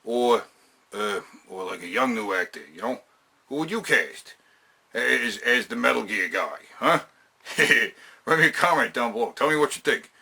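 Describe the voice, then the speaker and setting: A man with a very sharp, forceful voice and a gravelly rasp gives casual countdown commentary. He is emphatic and opinionated, swears heavily and often circles back to repeat his point. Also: medium pitch, animated, normal speed. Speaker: video host counting down a top ten list.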